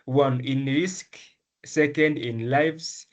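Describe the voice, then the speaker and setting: Young man from Rwanda, mid pitch, earnest and measured, many ums and restarts. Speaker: medical student, essay prize winner. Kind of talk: interview answers.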